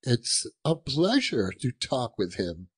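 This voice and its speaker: A man's voice: medium pitch, wildly swooping pitch, takes it slowly, husky, full character voice. A man voicing an elderly reformed miser in a storybook reading, jubilant and effusive, full of exclamations and chuckles. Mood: giddy, delighted.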